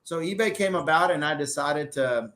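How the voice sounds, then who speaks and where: A man's voice: on the high side, moderate pace, fairly bright tone. A man in his forties from Pakistan, a restaurant owner telling his story in a loose, talkative way during a casual interview.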